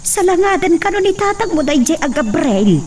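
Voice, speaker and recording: A woman's voice: very high-pitched, big pitch swings, normal speed, a little mellow, distant mic, a little hiss or hum. An actor playing a worried middle-aged mother warning her daughter, in a radio drama.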